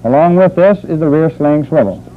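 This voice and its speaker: A man working as a military instructor, narrating a training film in a formal, deliberate, measured manner. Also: on the high side, lively intonation, a little hiss or hum. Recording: muffled phone-like old training film soundtrack.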